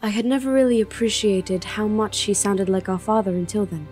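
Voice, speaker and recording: A woman's voice: mid-range voice, a little fast, darkish tone, soft background music, close to an everyday voice. A woman playing a grieving young princess, devoted to her father, in an audio drama. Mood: reflective, sad. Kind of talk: audio drama acting and narration.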